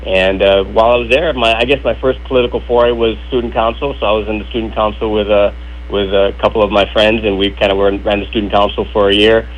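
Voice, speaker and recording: A man in his sixties calling in as a council candidate, telling his story in an easygoing, halting ramble. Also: lowish voice, level tone, highs cut off, slight background noise. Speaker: council candidate, phone guest. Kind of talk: phone-in interview. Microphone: phone line.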